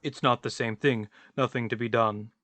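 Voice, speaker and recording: A man's voice: mid-range voice, steady tone, normal speed, a bit bright, no room sound, lightly acted. A man playing a brooding, pedantic tramp in a radio play, emphatic and ponderous, insisting on his points with some exasperation. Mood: rueful.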